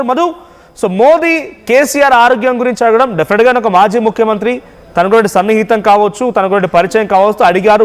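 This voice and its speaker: Guy anchoring live news, talks really fast, long echo on the sound. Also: high-pitched. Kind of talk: live news discussion with a correspondent. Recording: reverberant hall-like sound.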